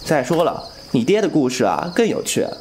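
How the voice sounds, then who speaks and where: A man's voice: on the high side, big pitch swings, fairly quick, a little mellow, slight background noise. A man playing a cheeky, candid young swordsman in a Chinese audio drama, with a playful, casual manner. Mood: playful, teasing. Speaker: voice actor.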